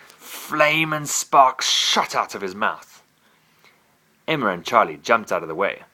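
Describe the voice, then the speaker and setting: Father narrating a bedtime story, low, very expressive. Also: a little slow. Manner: gentle, animated reading.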